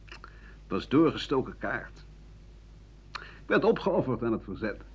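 An actor playing a bitter, cynical old French doctor and writer in a radio play, rambling and scornful with muttered asides. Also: male voice, mid-range voice, very animated, measured pace, husky. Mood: defiant, bitter. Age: older. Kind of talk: radio play monologue in interview form. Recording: muffled, noisy 1980 radio drama recording.

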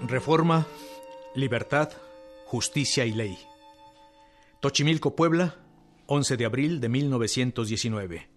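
Radio drama role: man playing a fervent rebel general, medium-pitched, solemn oratory over a music bed. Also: measured pace, pauses often, natural voice. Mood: fervent, defiant. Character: fervent male revolutionary general proclaiming a solemn manifesto. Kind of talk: radio drama, declaimed proclamation.

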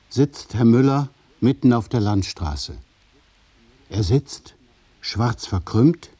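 A man narrating a scripted radio drama in the present tense, with deliberate, measured phrasing. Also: mid-range voice, warm tone, husky.

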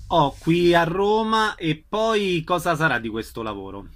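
Slow, higher-voiced male interviewer. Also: animated, a bit bright. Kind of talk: interview questions.

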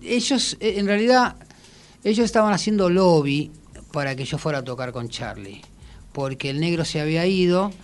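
A man with an Argentine accent reminiscing casually as a radio guest, halting and repeating words as he goes. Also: a little high, lively intonation. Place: radio studio.

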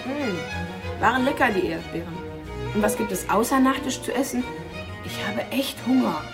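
An actress playing a cheeky thirteen-year-old daughter in a radio crime drama, casual, blunt and nagging. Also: female voice, on the high side, lively intonation, moderate pace, over music. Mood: casual, hungry.

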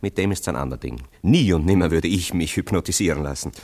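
An actor playing a sceptical, teasing friend and confidant in a literary radio play, given to wry, exclamatory interjections. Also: male voice, deep voice, fast, darkish tone. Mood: dismissive, wry. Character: sceptical, teasing male confidant, down-to-earth and dry.

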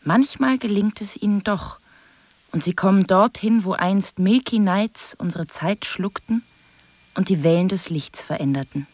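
An actress playing a solemn storyteller, narrating a poetic radio drama in a measured, deliberate way. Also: female voice, medium pitch, darkish tone, no room sound, lightly acted. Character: solemn storyteller of a strange universe.

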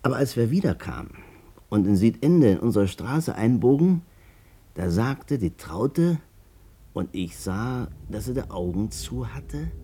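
A man's voice: mid-range voice, sing-song, unhurried, dark tone, husky, heavily acted. An actor playing a chatty, cocky Berlin businessman who tells of his amorous past in a colloquial, self-justifying way, in a 1950s German radio drama. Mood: confiding, wry.

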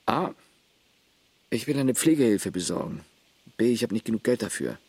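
A man's voice: lowish voice, animated, normal speed, a little mellow, husky, dry recording. An actor playing a terse village man who looks after his grandfather, matter-of-fact in a radio play.

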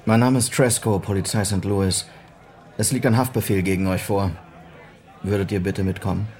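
An actor playing a dutiful, earnest police sergeant in a German radio western, speaking in clipped, terse phrases. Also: male voice, fairly low pitch, fairly even intonation, normal speed, darkish tone, close to an everyday voice. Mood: firm, controlled. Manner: clipped, terse log-entry phrasing, sober and determined. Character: dutiful, earnest male police sergeant in 1890s frontier America.

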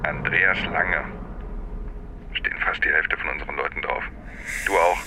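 An actor playing a grim, loyal henchman in a radio drama, speaking in a terse, hushed voice. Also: very deep voice, steady tone, normal speed, noisy background. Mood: grim, urgent. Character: grim loyal henchman in a secret police group.

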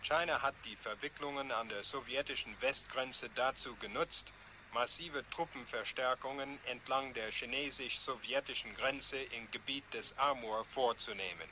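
A man's voice: mid-range voice, normal speed, close to an everyday voice. A man playing a terse news reporter, giving a factual, formal report in a radio play. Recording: quiet voice in noisy ambience.

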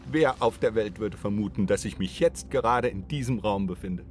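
An actor playing a restless, inquisitive doctor in a radio play, questioning in an urgent, brooding way. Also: male voice, lowish voice, moderate pace, soft background music, lightly acted. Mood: uneasy, brooding. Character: restless, inquisitive doctor drawn to forbidden adventure.

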